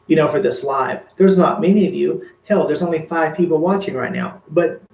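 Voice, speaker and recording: A man's voice: a little high, normal speed, creaky, darkish tone, roomy sound, lo-fi sound. A man casually chatting to his livestream viewers, rambling and earnest with many fillers. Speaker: makeup vlogger.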